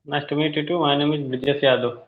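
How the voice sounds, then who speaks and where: A man from India with an Indian accent practicing spoken English. He pauses often with fillers, and his grammar shows English is not his first language. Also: on the high side, steady tone. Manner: hesitant, many fillers, non-native grammar. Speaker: English learner. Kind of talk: online conversation practice. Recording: tinny phone-like call audio.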